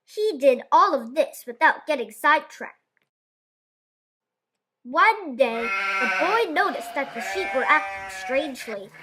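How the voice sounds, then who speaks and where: A woman storyteller reads a children's book aloud. Her voice is high and bright, with lively, wide-swinging intonation that gives the narration plenty of expression. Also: measured pace.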